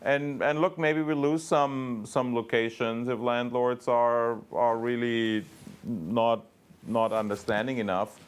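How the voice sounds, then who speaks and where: A man speaking as a company executive, answering investor questions off the cuff in a halting, emphatic way with repeated words. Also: mid-range voice, little pitch movement, smooth. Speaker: company executive on an earnings call.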